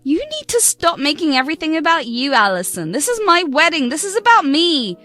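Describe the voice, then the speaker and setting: A spoiled young bride snaps at the people who raised her, her voice pitched a little high and edged with scorn, as a woman puts her on. Soft music plays faintly underneath. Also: big pitch swings, a little fast, dry recording. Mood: angry. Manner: scornful, sharp.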